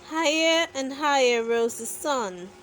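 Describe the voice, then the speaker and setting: A woman narrating a children's bedtime story with deliberate phrasing suited to very young listeners. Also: sits high, lively intonation, a bit bright, slight background noise. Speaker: children's book reader.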